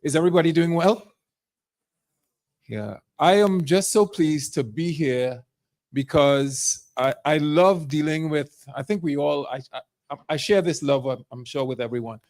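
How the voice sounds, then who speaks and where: A man and career diplomat giving a keynote speech at a charity evening, speaking in a halting way with repeated words. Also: a little high, darkish tone. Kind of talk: speech at an event.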